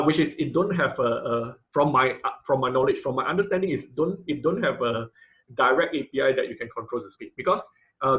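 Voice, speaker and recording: A man teaching an informal online class and taking questions, speaking haltingly and often repeating phrases as he searches for words. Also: medium pitch, fairly bright tone, low-quality recording. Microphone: online video-call audio.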